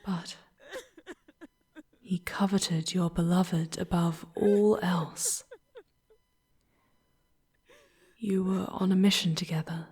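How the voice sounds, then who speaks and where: A woman voicing a charming, tender, sorrowful female demon guide in a breathy, whispered ASMR roleplay, with halting, dramatic phrasing and long pauses. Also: on the low side, a little mellow. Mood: grave, sorrowful.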